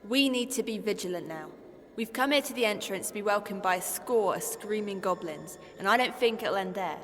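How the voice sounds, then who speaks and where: An actress playing a level-headed, cautious half-elf adventurer in a fantasy audio drama, speaking in an earnest, measured way. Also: female voice, medium pitch, animated, fairly bright tone, slight background noise, close to an everyday voice. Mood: firm caution. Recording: long hall reverb, music bed underneath.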